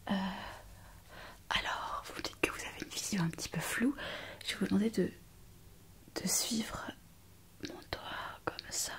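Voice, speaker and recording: A woman playing a kind, eager young hospital intern in an ASMR roleplay, speaking in a soft, breathy whisper with an attentive, hesitant manner. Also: medium pitch, unhurried, pauses often. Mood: attentive, soothing. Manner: attentive, hesitant, gently reassuring. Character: kind, eager, chatty young female hospital intern on her first night shift.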